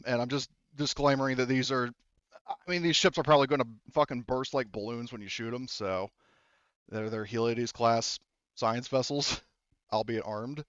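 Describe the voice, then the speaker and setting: A man's voice: medium pitch, a bit bright, clean tone, no room sound, highs cut off. A man working as game master, narrating a tabletop game casually and informally, often thinking aloud.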